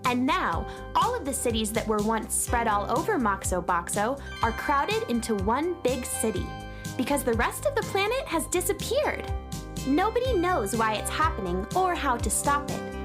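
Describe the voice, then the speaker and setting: A woman playing an upbeat, adventurous space traveler who narrates a children's radio story as an expressive storyteller. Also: sits high.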